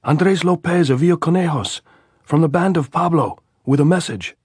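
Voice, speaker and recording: A man voicing a desperate young male guerrilla who shouts and pleads up at a guarded trench. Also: a little high, normal speed, husky, no echo, studio quality, pitched above the performer's own voice. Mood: frantic shouting.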